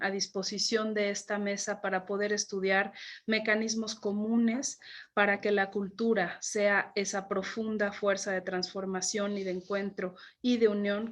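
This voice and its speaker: Woman, from Mexico, official address, earnest, level even intonation. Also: medium pitch. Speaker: government official, panel chair. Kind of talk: formal prepared speech.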